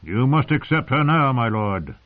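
A man's voice: mid-range voice, big pitch swings, normal speed, narrow, tinny audio, pitched above the performer's own voice, lightly acted. A man narrating an audiobook as a curt, blunt, cold soldier who pushes his point. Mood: curt, pushy.

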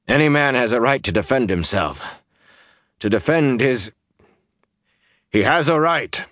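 A man voicing a plain-spoken, protective young man in a fantasy audiobook, firm and a little gruff. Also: very deep voice, fairly quick, no room sound, studio quality. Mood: rough, defiant. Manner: blunt, firm.

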